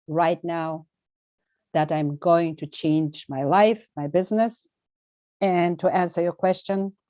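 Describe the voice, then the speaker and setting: A woman with a Bulgarian accent, a guest coach answering in an interview, speaking earnestly and deliberately with emphatic pauses. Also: fairly low pitch, warm tone, no room sound, highs cut off. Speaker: guest, well-being coach. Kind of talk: interview conversation.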